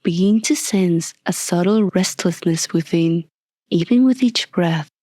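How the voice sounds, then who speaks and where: A woman leading a guided meditation as a scripted narration, soft and breathy, calm and soothing, with deliberate long pauses. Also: lowish voice, no room sound, clean studio sound.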